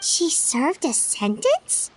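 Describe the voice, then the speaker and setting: Super high squeaky lil fairy sidekick, chatty, talks about herself by name. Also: female voice, wildly swooping pitch, takes it slowly, a little hiss or hum, heavily acted, low-quality recording. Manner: refers to herself in the third person. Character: small floating fairy companion, chatty and excitable. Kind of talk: video game dialogue. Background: soft music.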